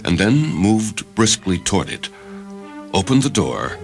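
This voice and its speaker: Low male voice, scripted fiction narration, lively intonation, background music. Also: a little slow, creaky. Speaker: short story reader. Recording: music under the voice.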